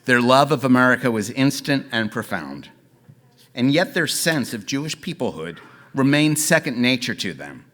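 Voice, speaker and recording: Middle-aged dad reading a formal gala speech, steady and dignified. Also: male voice, medium pitch, moderate pace. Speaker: parent and honoree giving a gala speech.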